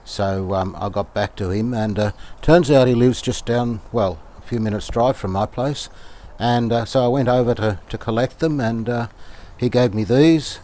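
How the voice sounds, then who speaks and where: A man from Australia narrating hands-on repair work casually, unhurried and halting as he thinks aloud. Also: mid-range voice, mellow. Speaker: radio restorer presenting his own repair videos.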